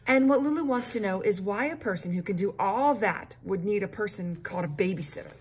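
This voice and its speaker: A middle-aged woman reading a children's story aloud like a teacher, expressive and emphatic for young pupils. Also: mid-range voice, a little fast. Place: small classroom, indoors. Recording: noticeable room noise on device mic.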